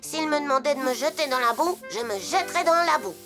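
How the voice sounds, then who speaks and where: A woman's voice: high voice, expressive, brisk. A woman voicing a loyal, grumbling childlike friend in a children's cartoon, speaking emphatically. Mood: loyal, resolute. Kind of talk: children's cartoon dub acting. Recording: music behind the voice.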